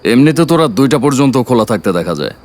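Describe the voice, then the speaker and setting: An actor in an audio drama playing a tired doctor, wary and easily irritated. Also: male voice, medium pitch, big pitch swings, racing, slight background noise, close to an everyday voice. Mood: weary.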